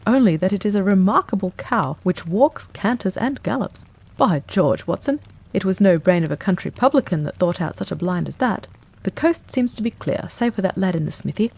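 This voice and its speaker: A woman voicing a brisk, confident male detective in an audiobook reading, quick and emphatic. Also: medium pitch, animated, a little mellow, breathy edge. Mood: cool.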